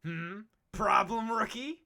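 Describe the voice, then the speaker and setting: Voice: mid-range voice, slow, bright, forceful tone. A voice actor playing a brash, cynical veteran Pokemon explorer in a comic dub, talking in a cocky, slangy, needling way. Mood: cocky, teasing. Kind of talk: animated comic dub acting.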